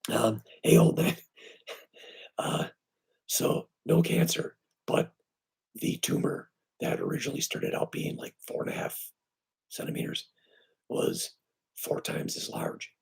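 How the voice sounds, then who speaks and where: A man with weak, breathy and raspy vocal cords, hoarse and short of breath, hosting a casual live stream from home on his computer and talking haltingly with many fillers. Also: on the high side. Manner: halting, with many fillers and restarts, lively when greeting viewers. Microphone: computer microphone.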